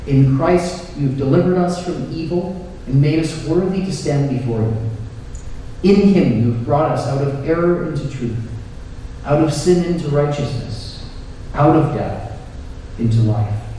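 Male clergyman for formal Eucharistic prayer: solemn, slightly high voice, distant in a very echoey hall. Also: measured pace, dark tone. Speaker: Anglican priest. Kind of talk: liturgical prayer recitation. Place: inside a church at the altar. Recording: echoey church hall, distant, faint noise.

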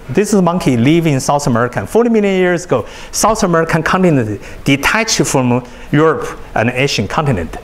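A man whose native language is Chinese, giving a university lecture in a large hall, animated and often repeating words as he restarts sentences. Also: a little high. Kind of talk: classroom lecture.

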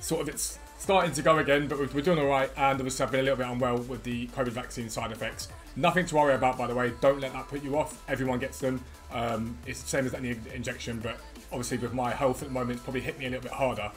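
A man in his thirties with a slightly croaky voice, chatting quickly and eagerly to viewers as a gaming YouTuber, recorded at a desk in a room at home. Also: medium pitch, steady tone, faint music behind. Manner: rapid, chatty, enthusiastic. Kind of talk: energetic casual chat to viewers.

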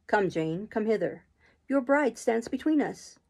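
A woman voicing a brooding, imperious gentleman in love, speaking in urgent, commanding declarations for a storybook. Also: high-pitched, lively intonation, measured pace, full character voice. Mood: earnest, commanding.

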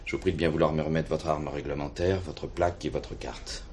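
An actor playing a stiff, coldly dutiful police superintendent in a French radio play, speaking in formal, measured officialese. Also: male voice, rumbling low, fairly even intonation, darkish tone, a little hiss or hum.

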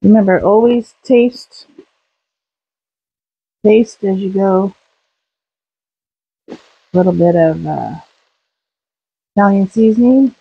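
A woman talks her way through a recipe in her home kitchen, unhurried and easygoing, pausing with little fillers between steps. Her voice sits at an ordinary middle pitch, and she takes her time with every word. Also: creaky, husky, phone-quality sound. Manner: slow, relaxed step-by-step talk with fillers. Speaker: home cook, channel host. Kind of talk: casual cooking narration.

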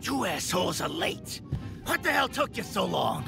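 A menacing gangster boss in a noir video game. His voice creaks and lurches up and down with scorn, spitting old-time mob slang over music. He has no patience for fools. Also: sits high, sing-song, normal speed, full character voice. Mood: scornful, menacing. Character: menacing impatient mob boss berating his dim henchmen. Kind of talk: 1940s noir gangster acting.

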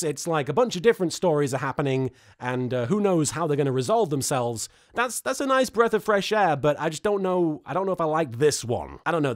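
A British man in his thirties giving a comedic, sarcastic and exasperated recap to camera as the host. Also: a little high. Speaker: host, episode reviewer.

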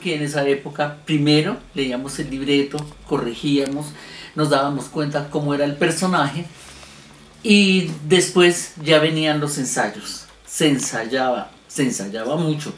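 A man's voice: a little high, unhurried. A man, a veteran radio actor reminiscing in an interview. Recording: roomy, faint background noise.

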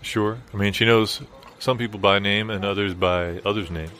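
A man performs improvised comedy banter with a deadpan delivery. His voice sits a little low. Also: normal speed.

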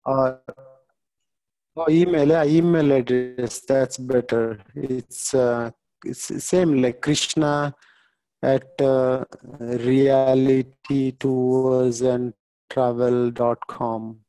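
Slow, hesitant man on a video call. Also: mid-range voice, steady tone, warm tone, low-quality recording. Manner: hesitant, halting, with many fillers. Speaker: keynote guest, tour organizer. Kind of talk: casual video-call chat.

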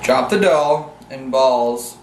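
A teenage male giving step-by-step cooking instructions in a halting, recited way in a home kitchen. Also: mid-range voice, big pitch swings. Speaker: cooking video presenter. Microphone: camera mic at a distance. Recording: distant camera mic, roomy, noisy background.

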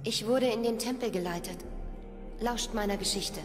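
A woman's voice: mid-range voice, normal speed, natural voice. An actress playing a devoted thirty-year-old noblewoman healer in a fantasy audio drama. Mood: grave, resolute. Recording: long hall reverb, faint music.

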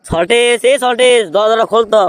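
A man's voice: extremely high pitch, fairly quick, smooth, dry recording. A man voicing a pushy, crude young friend with a Bogura Bangladeshi accent, brash and taunting in a comic cartoon. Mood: demanding.

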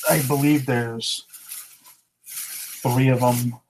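Unhurried thirties man, monotone, plain webcam sound, reselling talk. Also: mid-range voice, noisy background. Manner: relaxed, matter-of-fact item rundown. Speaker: reseller showing thrift finds. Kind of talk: casual haul vlog. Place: living room at home. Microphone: webcam at desk.

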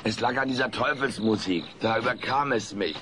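A man's voice: mid-range voice, normal speed, background noise, natural voice. A man voicing a brash, whiny alien troublemaker in a melodramatic comedy radio play. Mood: melodramatic pleading. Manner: melodramatic, mock-tragic.

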